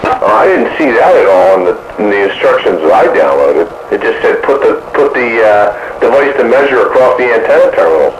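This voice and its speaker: A man chatting casually about technical matters as a radio hobbyist, halting as he thinks aloud. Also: lowish voice, very animated. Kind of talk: casual technical chat over two-way radio. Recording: muffled, noisy two-way radio transmission.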